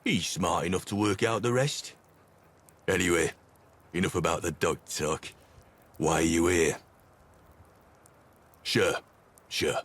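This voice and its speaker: An actor playing a plain-spoken, folksy dog owner in a video game. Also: male voice, sits low, slow pace, pauses often, a bit bright, husky, heavily acted. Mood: businesslike.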